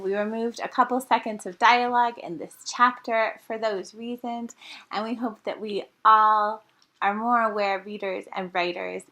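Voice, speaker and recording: A woman from a volunteer staff giving an earnest, slightly halting spoken note to listeners, with a few fillers. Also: medium pitch, fairly bright tone. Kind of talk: informal spoken announcement.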